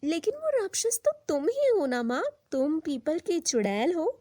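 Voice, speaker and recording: Woman as a high-pitched, earnest young girl. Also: sing-song, normal speed, mellow, no echo, studio quality. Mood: accusing. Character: young orphan girl raised by a witch, earnest, wounded.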